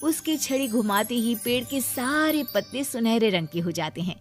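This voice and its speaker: A woman's voice: a little high, animated, moderate pace, faint music behind. A woman narrator reading a scripted children's cartoon story.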